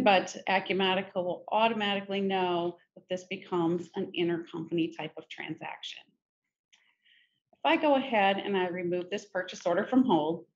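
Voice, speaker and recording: A middle-aged woman presenting a software demo in a conversational, informal step-by-step tutorial style. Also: on the low side. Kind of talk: conversational screen-share tutorial. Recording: muffled, noisy screen-recording audio.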